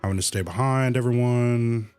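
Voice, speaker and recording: A man's voice: medium pitch, moderate pace, a little mellow, clear, resonant tone, soft background music. A man livestreaming a game with casual, playful reaction commentary, slangy and emphatically repeating his phrases.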